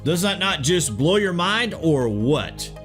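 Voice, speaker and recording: A man's voice: on the high side, big pitch swings, slow pace, music in the background. A man delivers scripted documentary-style narration in a dramatic way, posing rhetorical questions straight to the listener.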